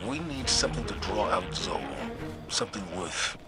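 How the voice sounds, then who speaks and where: An actor playing a stern, commanding military leader in a video game, speaking with grave authority and skepticism. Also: male voice, fairly low pitch, lively intonation, normal speed, natural voice. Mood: grave, resolute. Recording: loud music over the voice.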